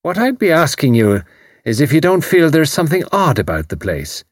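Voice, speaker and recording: A man's voice: a little high, wildly swooping pitch, moderate pace, dry recording, clean studio sound, voice pushed up. A man voicing a genial but overbearing older Irish woman in an audiobook, blunt, emphatic and insinuating. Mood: probing. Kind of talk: audiobook character voice.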